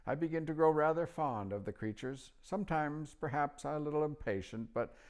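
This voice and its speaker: A man's voice: fairly high pitch, moderate pace, voice pushed up, natural voice. A man voicing a cheerful, chatty old male magician. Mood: fond. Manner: chatty, fussy, fond.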